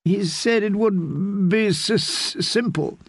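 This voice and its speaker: A man's voice: high-pitched, slow pace, creaky, breathy edge, no echo, clean studio sound, voice pushed up, exaggerated voice. A man voicing a distraught noblewoman who stammers through tears in a quavering voice. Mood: tearful, stammering. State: crying.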